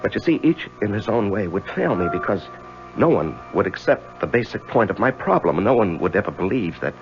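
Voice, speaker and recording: An actor playing a troubled middle-aged lawyer in a 1970s radio drama, speaking in a halting, confessional way. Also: male voice, on the low side, creaky. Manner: halting, hesitant, stammering. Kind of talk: 1970s radio drama acting, narrating and in scenes. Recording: dull lo-fi 1970s radio, music underneath.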